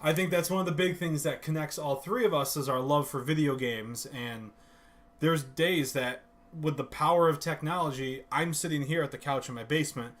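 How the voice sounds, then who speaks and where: A man's voice: on the high side, normal speed, a bit bright. A man hosting a podcast from his basement, chatting casually, joking and laughing often. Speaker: podcast host. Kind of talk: casual chat. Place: home basement.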